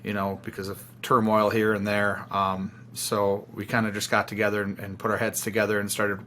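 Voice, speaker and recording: A man's voice: on the low side, monotone, fairly quick, a bit bright, a little hiss or hum. A man chatting casually as an interviewed musician, rambling informally and piling up phrases. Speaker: band singer-songwriter being interviewed.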